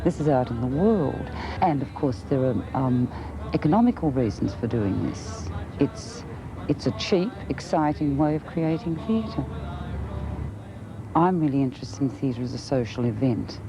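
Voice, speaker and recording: A woman's voice: a bass voice, lively intonation, measured pace. An Australian woman giving informal opinions on theatre in an interview, with outdoor traffic behind. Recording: dull, very noisy outdoor recording.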